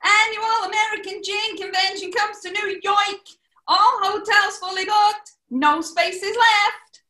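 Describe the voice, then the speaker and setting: An actress playing a loud newspaper seller declaiming headlines like a street crier in a 1940s-style radio comedy. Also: female voice, very high voice, fairly even intonation, slow pace, distant mic, heavily acted. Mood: urgent hawking. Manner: declaiming, punchy. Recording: echoey room.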